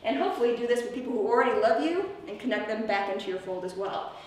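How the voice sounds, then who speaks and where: Fast-talking woman presenter in an echoey room. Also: fairly high pitch, animated. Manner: checks in with listeners using tag questions. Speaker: presenter at a camp leadership workshop. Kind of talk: informal conference presentation. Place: indoor room, presenting to a live group. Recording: echoey room from far off, faint noise.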